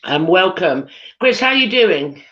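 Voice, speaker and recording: A British woman hosting a live chat show on a video call, husky from losing her voice, chatty with lots of ums. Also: fairly low pitch, big pitch swings, slow, fairly bright tone. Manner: chatty, giggly, many ums. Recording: dull lo-fi video call audio.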